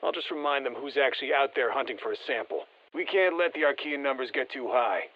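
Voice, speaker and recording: A man's voice: mid-range voice, brisk, dull audio. An actor playing a confident, folksy male soldier in a video game, giving colloquial, clipped tactical briefings through a radio filter. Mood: briefing, wry. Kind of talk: scripted game voicelines, mission briefing.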